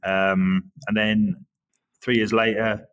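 An Englishman in his forties from the Norwich area, chatting as an interview guest in a relaxed, rambling way with many restarts. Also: lowish voice, slow pace. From England, Norwich area. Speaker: guest, creative director. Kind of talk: casual interview chat.

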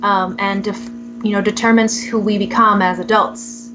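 A woman's voice: mid-range voice, flat delivery, normal speed, music in the background. Reflective young woman, muffled audio. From Southern California. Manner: reflective, many fillers and soft laughs. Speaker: podcast guest. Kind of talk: casual interview, telling her life story.

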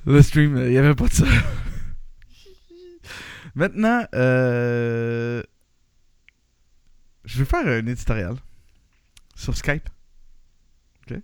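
A man's voice: mid-range voice, animated, very slow, long pauses, clear, resonant tone, no echo, studio quality. A man in his thirties with a Quebec French accent hosting a casual podcast, rambling with restarts and laughs. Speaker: podcast host.

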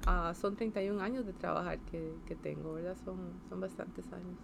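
A woman's voice: lowish voice, steady tone, moderate pace, vocal fry, noisy background. A woman in her fifties with a Costa Rican accent, answering interview questions in a casual, hesitant way, with many fillers, restarts and tag questions. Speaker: engineer interviewee.

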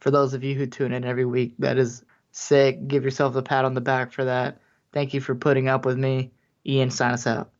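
A man's voice: medium pitch, completely flat delivery, brisk. Chill jokey guy on a podcast, medium voice, dull lo-fi recording. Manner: laid-back, jokey, brief replies. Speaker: podcast co-host. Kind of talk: casual podcast chat.

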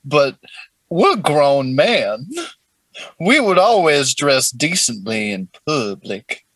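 A man voicing a lewd, old-fashioned Southern gentleman with a florid, courtly drawl in an improvised comedy role-play. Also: mid-range voice, big pitch swings, slow, husky, no room sound, full character voice, rough recording. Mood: courtly, suggestive.

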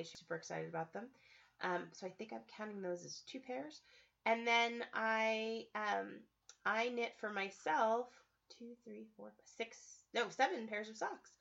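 A woman's voice: mid-range voice, vocal fry, a bit bright. A woman hosting a podcast and chatting casually, rambling a little with frequent "um"s while she fights a cold. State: has a cold. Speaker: podcast host. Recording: slightly dull lo-fi recording.

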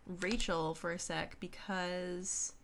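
A woman's voice: fairly low pitch, little pitch movement, slow pace, fairly bright tone, a little hiss or hum. A woman co-hosting a podcast, chatting casually about books in an informal way. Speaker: podcast co-host. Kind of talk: casual book discussion.

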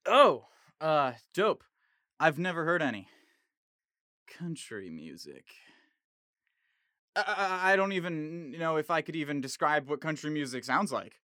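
A man's voice: fairly high pitch, very animated, a little slow, long pauses, pushed and bright, no echo, studio quality, full character voice. A young man acting in an audio drama as an eager, slangy slacker who stammers awkwardly. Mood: flustered. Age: young adult. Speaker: actor in a student audio drama.

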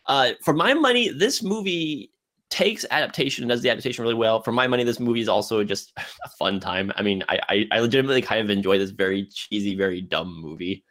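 A man hosting a casual film discussion, speaking haltingly and often repeating words as he works toward his point. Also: fairly low pitch, expressive, fairly bright tone. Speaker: film podcast host.

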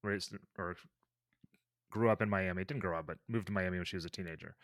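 A man's voice: low voice, fast, a bit bright, no room sound, studio quality. A man co-hosting a podcast in easygoing casual chat, thinking aloud. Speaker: podcast co-host.

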